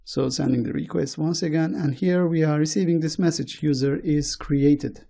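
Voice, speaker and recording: Man, Turkish accent, programming tutor, dark husky voice, slow and methodical. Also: fairly high pitch, fairly even intonation. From Turkey. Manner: slow, step-by-step walkthrough. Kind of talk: screencast coding tutorial.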